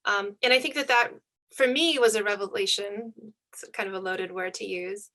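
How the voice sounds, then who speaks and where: Woman author, bright tense tone, reflective and searching, on a video call. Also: medium pitch, steady tone, normal speed. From United States. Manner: thoughtful, searching, frequent fillers and restarts. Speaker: author and panelist. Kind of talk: reflective conversation.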